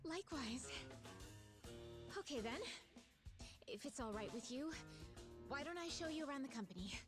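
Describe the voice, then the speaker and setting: Polite, high-voiced young woman. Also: expressive, normal speed. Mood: grateful, hopeful. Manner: polite, earnest. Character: polite, troubled young woman running family company. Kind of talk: video game voice acting. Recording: music behind the voice.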